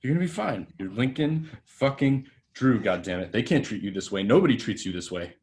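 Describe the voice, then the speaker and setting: A volatile, washed-up young TV star, voiced by a man at a table read swears and rants with bitter contempt. His voice sits low, and his tirades run fast and loose. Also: lively intonation, darkish tone. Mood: drunk, hyping. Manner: profane, ranting, bitter. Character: washed-up hotshot young male TV actor, volatile.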